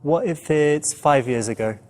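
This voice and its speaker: A man's voice: fairly high pitch, a little slow, a little mellow. A man presenting as a dating coach, giving casual, conversational advice with music behind him.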